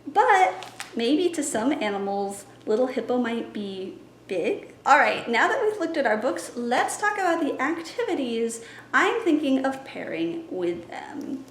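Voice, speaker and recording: A woman's voice: on the high side, very animated, a little slow, a little hiss or hum. A young woman in her twenties, a library science student, chatting in an upbeat, teacherly way as she plans a children's story time. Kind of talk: casual teaching vlog. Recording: echoey room.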